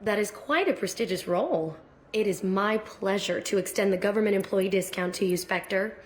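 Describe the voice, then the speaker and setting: Formal, courteous actress as a space station merchant. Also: female voice, mid-range voice, moderate pace, lightly acted. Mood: welcoming. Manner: formal, gracious. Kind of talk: video game character acting.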